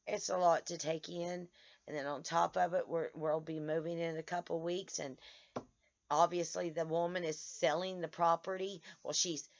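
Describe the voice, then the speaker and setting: Hoarse woman, vlogger, tired, halting delivery full of restarts; muffled home-video sound. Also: lowish voice, flat delivery. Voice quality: halting, many restarts and stammers. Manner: tired, speaks in short broken phrases. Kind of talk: casual vlog to followers. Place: outdoors in the sun.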